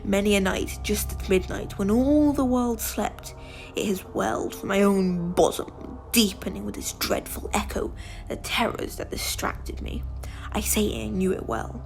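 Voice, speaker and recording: A woman's voice: mid-range voice, a little slow, faint music behind. Woman acting a deranged, whispering gothic murderer. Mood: creeping suspense. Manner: theatrical, often dropping into breathy whispers. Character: deranged, gloating murderer confessing while insisting on sanity. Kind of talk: dramatic gothic story reading.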